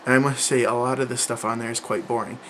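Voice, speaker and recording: A man's voice: mid-range voice, steady tone, fast talker, fairly bright tone, slight background noise. A young man chatting casually to camera about learning languages, rambling, with lots of fillers and restarts. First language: English.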